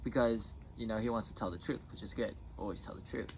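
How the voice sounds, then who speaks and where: A man's voice: on the low side, fast, over a noisy crowd. A young man telling a story casually, in a laid-back, matter-of-fact way. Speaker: interview guest. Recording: noisy, with clear background noise.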